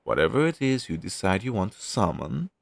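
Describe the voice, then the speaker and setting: Male actor for a demon crow familiar, fantasy roleplay audio: helpful explainer, animated intonation, dry close sound. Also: fairly low pitch, a little fast, creaky, no room sound, full character voice. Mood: encouraging. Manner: conversational, explanatory, reassuring, with thoughtful hums. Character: friendly male demon crow familiar advising a young witch.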